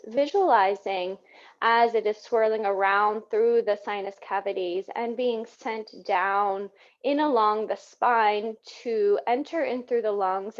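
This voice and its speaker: A woman teaching yoga, guiding breathing in a soothing, gentle manner with unhurried phrasing. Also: medium pitch. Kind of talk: guided yoga breathing instruction. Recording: dull, muffled lo-fi sound.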